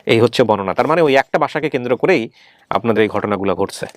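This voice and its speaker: A man's voice: medium pitch, animated, quick pace, a little hiss or hum. A man hosting a family reunion show, questioning methodically and repeating points to confirm them. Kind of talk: probing interview, reading out and summarizing testimony.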